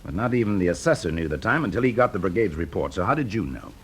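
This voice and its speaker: An actor with a British accent playing a confident, shrewd fire investigator in a radio drama, brisk, urbane and teasing. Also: male voice, low-pitched, big pitch swings. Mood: shrewd, accusing. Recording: noisy classic radio drama recording.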